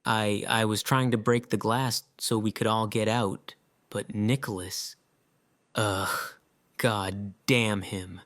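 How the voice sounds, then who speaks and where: Male survivor, acted by a man, lowish voice, dry sound, guilt-ridden, emotional, halting, for audio drama. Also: steady tone, a bit bright, no echo. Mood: distraught. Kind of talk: fan audio drama acting.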